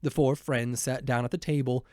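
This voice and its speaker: A man's voice: medium pitch, fairly even intonation, normal speed, mellow, dry recording, studio quality. A man narrating a children's storybook as a voiceover performer.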